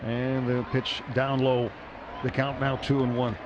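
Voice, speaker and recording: A man calling a baseball game as the radio play-by-play announcer from a ballpark booth, with brisk, measured play calling. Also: medium pitch, monotone. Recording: muffled radio broadcast with crowd noise.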